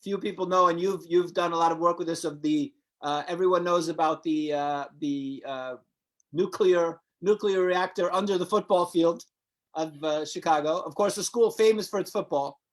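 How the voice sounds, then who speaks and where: A man's voice: a little high, moderate pace. A man hosting an interview, asking questions in a playful, teasing, mock-serious way. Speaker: host. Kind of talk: casual interview chat.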